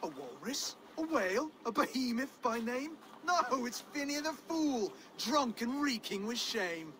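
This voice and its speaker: An actor playing a soft, earnest Saxon would-be king, genteel, hesitant and excitable, in a video game cutscene. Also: very high-pitched, unhurried, full character voice. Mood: eager, flustered. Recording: game ambience, clear background noise.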